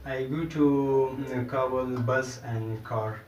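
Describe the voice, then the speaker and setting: A man with a Pashto accent, giving short, halting answers with many fillers in a classroom practice. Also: mid-range voice, fairly even intonation, darkish tone, slight background noise. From Afghanistan. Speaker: student. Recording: echoey room, distant mic.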